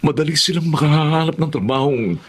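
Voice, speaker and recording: A man's voice: a little high, a little fast, husky. A man playing an ambitious, earnest middle-aged father in a Filipino radio drama. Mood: determined. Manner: earnest, persuasive. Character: determined, ambitious Filipino father working abroad.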